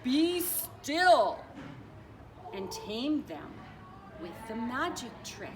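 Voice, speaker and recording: A woman reading a picture book aloud like a teacher, expressive, stretching and stressing her phrases for children. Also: medium pitch. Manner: expressive, drawn-out phrasing with emphasis. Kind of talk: animated children's storytelling. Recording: distant mic with clear background noise.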